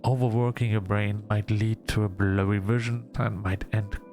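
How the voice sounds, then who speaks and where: A man with a creaky, fry-laden voice at a middling pitch reads a visual novel aloud. He narrates in scripted second person, drops in casual asides, and sometimes stumbles and restarts a phrase. Music plays faintly behind him. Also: level tone, normal speed, darkish tone. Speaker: gamer reading a visual novel aloud for a video.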